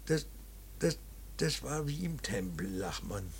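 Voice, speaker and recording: A man acting in a radio drama as an earnest, preachy older painter and father, emphatic, repetitive and halting. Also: medium pitch, big pitch swings, a little hiss or hum. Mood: reverent, moved. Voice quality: gravelly, husky. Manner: emphatic, repeating words, halting.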